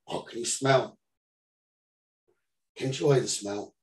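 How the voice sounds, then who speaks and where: A man leading a guided meditation as the teacher, giving soothing instructions in short phrases with pauses between them. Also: medium pitch, darkish tone. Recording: roomy echo, distant from the mic.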